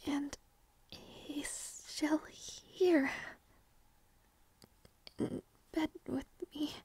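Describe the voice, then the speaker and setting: A woman's voice: very high voice, creaky, no room sound, heavily acted. A woman playing a shy, whispery young princess. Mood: drowsy, wistful. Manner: soft, hesitant, stammering, often whispered. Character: shy, flustered young princess waking beside her knight. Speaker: audio roleplay performer. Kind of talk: intimate audio roleplay.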